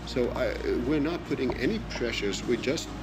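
A man's voice: medium pitch, animated, moderate pace, over loud music, poor audio. A man negotiating informally across a table in a meeting room. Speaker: negotiator in a policy simulation.

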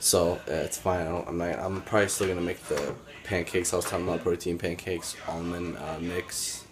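A man in his twenties chatting casually to a handheld phone camera, relaxed, with lots of fillers. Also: low voice, fairly quick, noisy background. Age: 20s. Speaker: vlogger. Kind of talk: casual daily vlog. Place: car, store and home kitchen. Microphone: handheld phone at arm's length.

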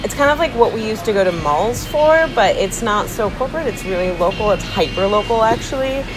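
A woman's voice: mid-range voice, animated, brisk, poor audio. A young woman from Cincinnati, a shop clerk, talking enthusiastically about a market in a casual interview. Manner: chatty, enthusiastic, laughs lightly. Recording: music under the voice.

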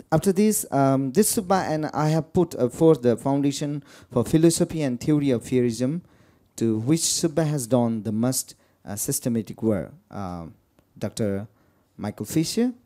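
A man's voice: mid-range voice, dark tone. A man with a Nepali accent and Nepali as his first language, moderating a book talk and introducing the speaker with many fillers and restarts. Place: lecture room.

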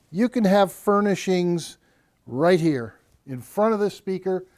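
An older man with a fairly high, soft-edged voice pitches a product. He talks off the cuff in plain, colloquial words, like a practiced salesman. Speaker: co-presenter of a home theater system. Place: padded, treated listening room indoors.

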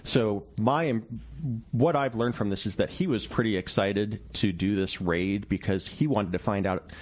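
A man speaking as a journalist guest in a radio interview, explaining in a measured, conversational way and qualifying as he goes. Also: lowish voice, darkish tone.

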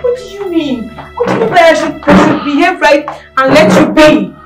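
A woman's voice: high-pitched, lively intonation, moderate pace. A woman playing a passionate, insistent wife in a melodramatic film, repeating herself emphatically. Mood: pleading, frustrated. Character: passionate, insistent wife fighting for her husband. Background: faint music.

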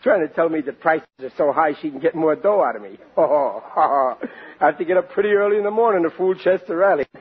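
An actor in a 1940s radio sitcom playing an excitable, dim, sentimental family man, blustering and plaintive in a colloquial way. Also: on the high side, fast talker, husky. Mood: grumbling, amused. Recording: noisy, dull 1940s radio recording with audience.

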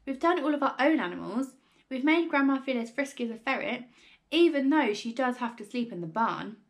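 Woman voicing an earnest, high-pitched little boy. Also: lively intonation, brisk. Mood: puzzled. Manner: pleading, questioning. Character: earnest little boy, worried, trying to be heard.

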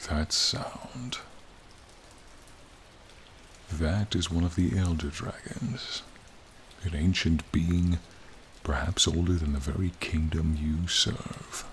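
A man voicing a charming, friendly ancient dragon in a soothing, formal, gently teasing ASMR roleplay. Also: low voice, takes it slowly, slight background noise. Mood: calm, welcoming. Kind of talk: ASMR roleplay, slow intimate monologue. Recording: rain and cave ambience behind.

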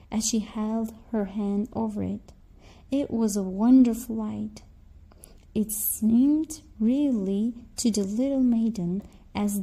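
Slow, mellow woman storyteller. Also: mid-range voice. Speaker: children's storyteller. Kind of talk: scripted fairy-tale narration for children.

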